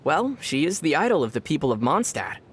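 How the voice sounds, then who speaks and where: Calm, measured young traveler hero. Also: male voice, fairly high pitch, husky. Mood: explaining. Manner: measured, storytelling, wry. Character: calm, thoughtful young male traveler and adventurer. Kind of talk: video game voice acting.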